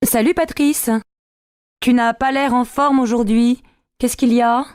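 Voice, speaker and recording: High-voiced woman reading dialogue lines. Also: steady tone, a little slow, a little mellow, clear, resonant tone, no echo, clean studio sound. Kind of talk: scripted learner dialogue.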